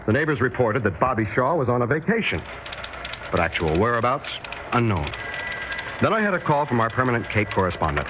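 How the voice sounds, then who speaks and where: An American actor playing an urgent, probing radio news correspondent in a vintage radio drama. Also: male voice, on the low side, close to an everyday voice. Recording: noisy, muffled vintage radio recording.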